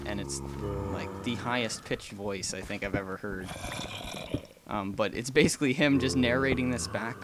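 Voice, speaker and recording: A young man casually chatting and rambling through a let's play, with frequent ums and small laughs. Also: on the low side. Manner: rambling and chatty, many ums, gasps and laughs while playing. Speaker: gaming channel host.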